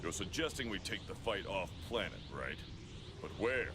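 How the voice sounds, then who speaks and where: An actor playing a proud, gruff warrior rival in an anime video game, gravelly, haughty and terse. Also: male voice, sits low, animated, normal speed, noisy background. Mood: stern, skeptical. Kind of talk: English anime game dub acting. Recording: music behind.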